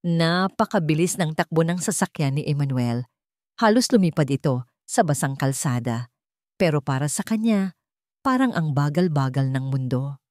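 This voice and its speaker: A woman's voice: low voice, expressive, normal speed, a little mellow, dry recording, clean studio sound. A woman storyteller reading a scripted romantic drama as narration.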